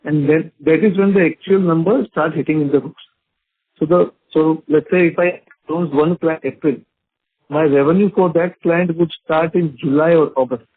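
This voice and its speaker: A company executive, answering an analyst patiently and politely. His voice is slightly high and husky. It comes through a dry, thin phone line. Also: fairly even intonation, moderate pace. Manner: polite, calls the analyst sir, patient explanations with fillers. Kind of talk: earnings call Q&A.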